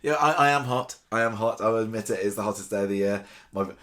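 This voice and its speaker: A man hosting a casual podcast chat, with a slightly croaky voice and a chatty, rambling way of talking. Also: on the low side, fast talker, a bit bright. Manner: chatty, restarts and fillers. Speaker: podcast host.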